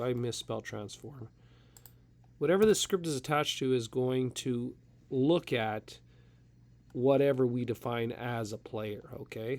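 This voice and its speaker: Congested man teaching a coding lesson. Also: mid-range voice. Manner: relaxed, talks through steps with fillers. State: slightly congested from a cold. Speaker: programming tutorial instructor. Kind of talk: casual screen-recorded coding tutorial.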